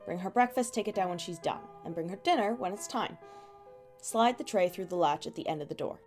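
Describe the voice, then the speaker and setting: A woman playing a firm, businesslike royal household superior who gives orders deliberately in an audio drama. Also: on the low side, animated, darkish tone, close to an everyday voice. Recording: music behind the voice.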